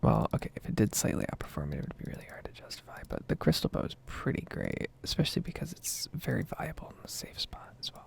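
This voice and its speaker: Casting: American woman, 20s, heavily fry-laden and often whispered voice, rambling ASMR gaming talk, casual swearing, close mic. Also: very deep voice, wildly swooping pitch, quick pace, few pauses. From United States. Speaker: gaming video creator.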